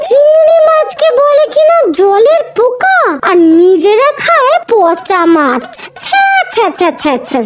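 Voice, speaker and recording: A woman's voice: very high-pitched, sing-song, measured pace, background noise, heavily acted. A woman voicing a proud, disdainful older mother in a cartoon, haughty and dismissive, with a West Bengali accent. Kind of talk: cartoon family comedy acting. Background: music.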